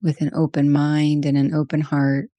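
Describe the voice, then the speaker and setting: Female meditation guide, soft-edged mellow tone, gentle spaced phrasing, close dry sound. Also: deep voice, level tone, no echo. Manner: slow, gentle pauses between short phrases.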